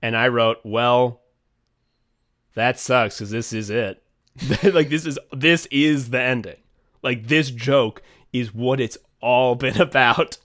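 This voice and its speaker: A man's voice: mid-range voice, takes it slowly, a bit bright. A man co-hosting a podcast, chatting casually, rambling with frequent swearing, fillers and restarts. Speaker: podcast co-host and writer.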